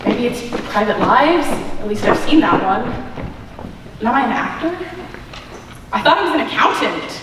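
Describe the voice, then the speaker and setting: A woman's voice: fairly high pitch, animated, moderate pace, long pauses, lightly acted. A young woman playing a confused accountant mistaken for an understudy in a stage play, recorded in an auditorium. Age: young adult. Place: high school auditorium stage. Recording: echoey auditorium, distant mic, faint noise.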